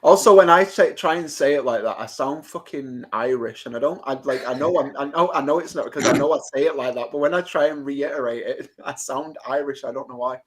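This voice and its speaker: A man's voice: medium pitch, a little fast, few pauses. A man with a Manchester accent, bantering casually and joking at his own expense on a live stream. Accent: Mancunian English. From Manchester, England. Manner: jokey, self-mocking banter. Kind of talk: casual chat.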